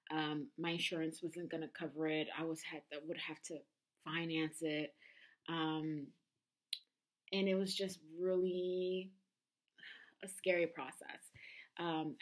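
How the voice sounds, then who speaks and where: Candid woman in her thirties, halting. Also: on the low side. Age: 30s. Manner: candid, halting, many ums and restarts. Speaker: vlogger. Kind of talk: personal confessional vlog.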